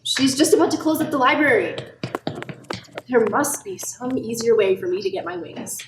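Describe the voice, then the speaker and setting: A woman playing a gentle, earnest apprentice angel in an amateur radio play. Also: high voice, expressive, normal speed. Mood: reluctant. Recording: echoey room, distant mic, faint noise.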